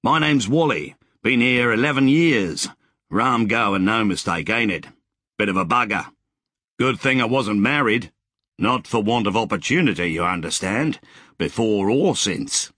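A man's voice: on the low side, lively intonation, unhurried, a bit bright, breathy edge, dry recording, clean studio sound, voice pushed up, heavily acted. A man voicing a cheerful, grizzled older man with a breezy, slangy way of talking.

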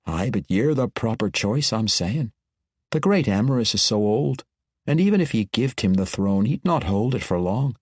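A man's voice: lowish voice, expressive, moderate pace, darkish tone, no echo, studio quality. A man voicing a blunt young dwarf lass. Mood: probing. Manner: rough dwarven dialect, dropped g's. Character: young female dwarf warrior, blunt and probing.

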